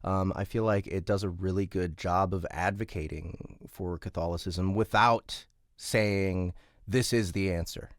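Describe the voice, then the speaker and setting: A man hosting an interview and directing the audiobook, speaking haltingly with frequent ums. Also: sits low, steady tone, no room sound, clean studio sound. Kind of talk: casual interview chat.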